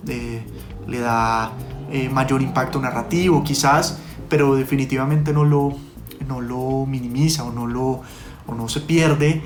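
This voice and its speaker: A man answering interview questions conversationally as a podcast producer, with hesitant, halting phrasing. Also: medium pitch.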